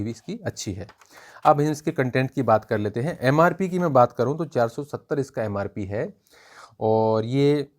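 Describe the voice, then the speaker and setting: A man's voice: medium pitch, a little mellow. A man giving an informal educational review, explaining point by point and often repeating himself. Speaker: exam-prep book reviewer.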